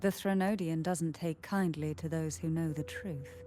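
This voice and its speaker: Poised, low-voiced, cryptic noblewoman. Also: fairly even intonation. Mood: solemn. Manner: formal, measured, enigmatic. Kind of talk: scripted fantasy game acting. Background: music.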